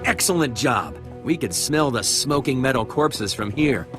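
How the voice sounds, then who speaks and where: An actor playing a stubborn, entitled settler in scripted game dialogue. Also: male voice, mid-range voice, expressive, unhurried, music in the background, lightly acted. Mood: indignant, entitled. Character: entitled, stubborn male settler claiming family land.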